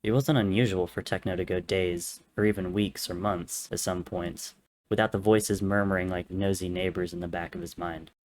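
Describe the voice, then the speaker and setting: A man's voice: sits low, steady tone, normal speed, slight background noise. A man reading fiction as a dramatic, scripted narrator. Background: soft music or ambience.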